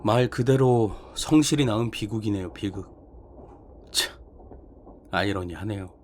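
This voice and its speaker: A man's voice: fairly low pitch, a little slow, darkish tone, slight background noise, close to an everyday voice. Man acting as a hesitant young junior detective. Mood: appalled, musing. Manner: polite, hesitant, reacting in short bursts. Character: young male junior detective, deferential, incredulous listener. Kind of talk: dramatized horror short story reading.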